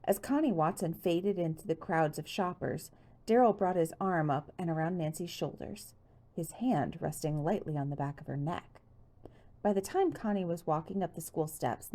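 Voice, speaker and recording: A woman's voice: low-pitched, lively intonation, moderate pace, mellow. A woman reading a teen mystery novel aloud as scripted storybook narration. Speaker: audiobook reader.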